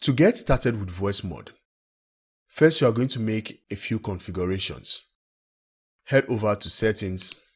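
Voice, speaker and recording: A man presenting a software tutorial in a plain, instructional way, recorded as a screen-recording voice-over. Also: on the low side, measured pace, breathy edge. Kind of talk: step-by-step software tutorial narration.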